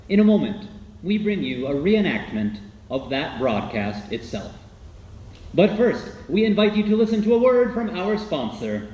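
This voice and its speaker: A man's voice: fairly high pitch, dull audio. A man playing a courteous, polished host announcing an old-time radio playhouse in a formal, showmanlike way. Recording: roomy echo, faint background noise.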